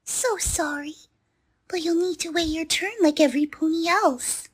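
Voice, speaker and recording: A man putting on a shrill voice for a prim, officious clerk pony in a fan dub. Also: fairly even intonation, moderate pace, heavy background noise. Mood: curt.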